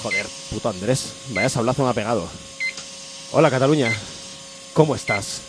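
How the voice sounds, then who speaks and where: A man's voice: medium pitch, expressive, moderate pace, background noise, close to an everyday voice. A man playing an insistent, melodramatic woman lover in a comic radio soap, overwrought and pleading. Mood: puzzled.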